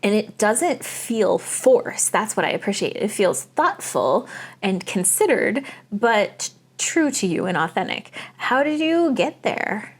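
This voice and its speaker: Woman host, mid-range voice, warm chatty praise, casual interview. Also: medium pitch, very animated, slow, breathy edge. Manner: effusive, complimentary, chatty. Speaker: podcast host, acting coach.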